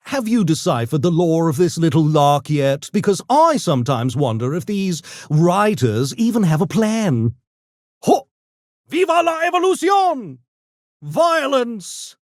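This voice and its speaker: An actor voicing a smug, erudite genius gremlin for a video game, with pompous, mock-genteel, theatrical diction that tips into gleeful mania. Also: male voice, high voice, dry recording, clean studio sound. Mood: smug, conversational, then manic, shouting. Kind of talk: video game character voice lines.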